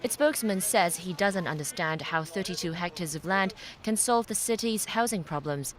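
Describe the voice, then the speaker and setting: A woman with a fairly low voice narrates a scripted news report. She delivers it as a TV reporter reading prepared copy. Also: lively intonation, normal speed.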